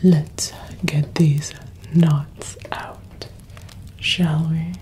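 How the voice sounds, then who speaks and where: Very slow, whispering young woman. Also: deep voice, level tone, dark tone, a little hiss or hum. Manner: whispering, slow, soothing, drawn-out. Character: doting, mischievous witch pampering her cat familiar. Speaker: ASMR performer. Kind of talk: whispered ASMR roleplay. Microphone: close ASMR microphone.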